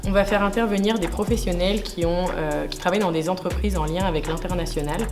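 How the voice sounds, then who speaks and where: A woman's voice: lowish voice, quick pace. A young woman from France presenting a student project, speaking steadily with frequent fillers. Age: young adult. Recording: music playing behind.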